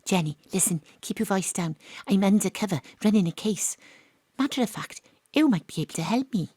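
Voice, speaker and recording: An actress playing a wry, cocky woman detective who narrates her case in a deadpan, confiding audio drama style. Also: mid-range voice, a little fast, husky, dry recording. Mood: conspiratorial.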